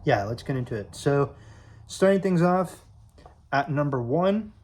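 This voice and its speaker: A man's voice: on the high side, animated, measured pace, darkish tone. A young man from Illinois chatting casually to camera, rambling with lots of fillers and restarts. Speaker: YouTube sports creator.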